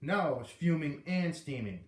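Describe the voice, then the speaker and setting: A man voicing an excitable, cheeky schoolboy in an eager, exclamatory way for a storybook. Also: on the high side, normal speed, lightly acted. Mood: fuming. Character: excitable, cheeky schoolboy narrating his day. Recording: echoey room.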